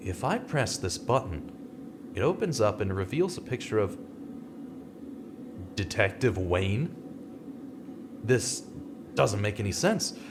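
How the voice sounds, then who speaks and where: A man playing a skeptical, dogged, brooding investigator in a vintage-style radio mystery, with a questioning, deliberate, dramatic delivery. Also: mid-range voice, noisy background. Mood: puzzled, searching. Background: music.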